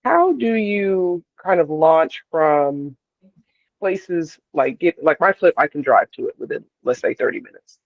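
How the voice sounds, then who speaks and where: A man's voice: a little high, expressive, a little slow, no room sound. Tentative man on a tinny call line. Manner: tentative, hedging with "um" and "like". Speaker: new investor asking questions. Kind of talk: asking questions in a Q&A. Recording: tinny phone-like line, dry.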